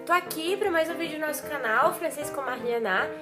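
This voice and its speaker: Lively, emphatic young woman teacher. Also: high voice, brisk, music in the background. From Brazil, Ribeirão Preto. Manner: lively, emphatic, drops French words into Portuguese. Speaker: French teacher. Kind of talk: personal reflection vlog. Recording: echoey room with faint hiss.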